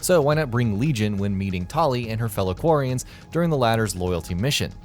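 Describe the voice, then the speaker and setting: Lively male video narrator. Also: fairly low pitch, fairly quick, faint music behind. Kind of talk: scripted countdown narration.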